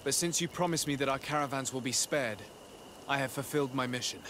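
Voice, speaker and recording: A man playing a calm, courteous noble envoy in a video game, speaking in a measured, formal, conciliatory way. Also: medium pitch, a little hiss or hum, close to an everyday voice. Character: calm diplomatic male legate, courteous young noble envoy. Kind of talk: scripted video game cutscene dialogue.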